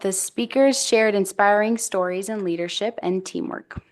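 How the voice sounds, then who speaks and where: A woman's voice: medium pitch, moderate pace. A woman reading a prepared report of school events and sports news at a school board meeting.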